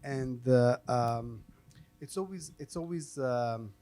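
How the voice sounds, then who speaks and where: A man hosting and interpreting at an event, speaking off the cuff in a halting, hesitant way. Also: medium pitch, dark tone. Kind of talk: unscripted event talk.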